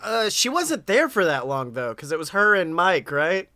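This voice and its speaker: Young man, high-pitched, forceful bright tone, casual podcast host. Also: sing-song, normal speed, no room sound, studio quality. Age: young adult. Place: home room, indoors.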